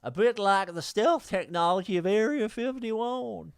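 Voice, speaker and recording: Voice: medium pitch, a little slow, gravelly, clean tone, dry recording. A podcast host reading listener mail aloud in a jokey, sweary way, often stumbling over the text.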